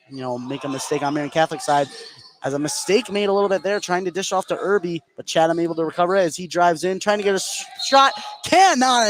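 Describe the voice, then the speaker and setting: An American man doing excitable live play-by-play basketball commentary for radio, narrating the action in running phrases. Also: a little high, slight background noise. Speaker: play-by-play sports announcer.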